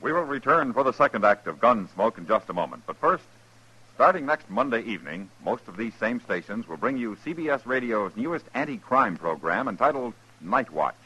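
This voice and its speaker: A man's voice: mid-range voice, sing-song, a little fast, bright, forceful tone. A male announcer reading a scripted promo in formal 1950s radio style. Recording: dull, rough vintage radio broadcast.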